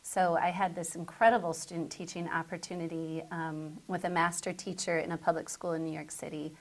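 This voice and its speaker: A middle-aged woman with an American accent answers as an interview guest. Her voice sits a little low and has a clear creak of vocal fry. She talks conversationally, as a school principal. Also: level tone, fairly quick. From southern Connecticut, United States.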